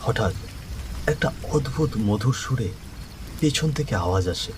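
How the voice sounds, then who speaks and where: A man's voice: mid-range voice, lively intonation, unhurried, very noisy. A man narrating a scripted horror story in a dramatic, suspenseful manner.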